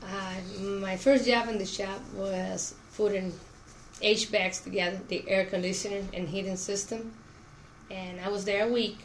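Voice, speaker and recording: A woman's voice: mid-range voice, fairly even intonation, measured pace, poor audio. A middle-aged woman from Cuba, a Spanish speaker, answering questions in an oral history interview. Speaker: interviewee, auto plant worker. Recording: clear background noise.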